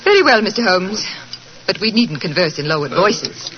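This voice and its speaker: A woman's voice: fairly low pitch, big pitch swings, moderate pace, a crowd in the background. A woman playing a proud, poised wife under suspicion in 1940s radio style, with a formal, dignified manner. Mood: cool, defiant. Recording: noisy, dull old radio recording.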